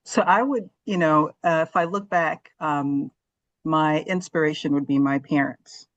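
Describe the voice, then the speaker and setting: A woman's voice: low voice, fairly even intonation, measured pace, no room sound, dull audio. A woman in her forties from Zambia, an earnest and reflective interview guest who talks with many fillers. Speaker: guest, gastroenterologist. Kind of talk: casual interview talk.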